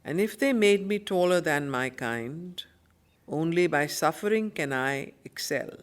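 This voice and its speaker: A woman teaching a study circle, with a slow, measured delivery. She shapes phrases deliberately and with emphasis, moving between solemn verse recitation and explanation. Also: sits low, animated. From India.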